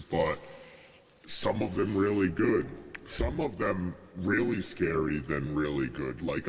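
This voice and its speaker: A man's voice: extremely low pitch, vocal fry. A man in loose, speculative casual podcast chat, leaning heavily on the word "like". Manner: loose, speculative, filler-heavy with "like". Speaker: podcast speaker. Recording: noisy, reverberant hall-like sound.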